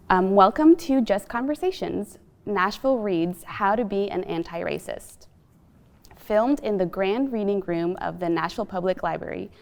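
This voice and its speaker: A woman's voice: medium pitch, smooth. Lady host leading a panel talk, mid voice, echoey big room. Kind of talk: hosting a panel discussion. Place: large library reading room. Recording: long reverb of a large hall.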